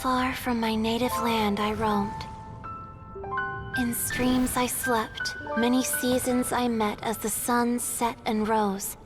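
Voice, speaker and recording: A wistful fairy from a fantasy game. She speaks slowly and softly, with gentle poetic phrasing. Music swells clearly behind her. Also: fairly high pitch, little pitch movement. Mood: wistful, storytelling. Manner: soft-spoken, measured, poetic. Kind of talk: video game voice acting.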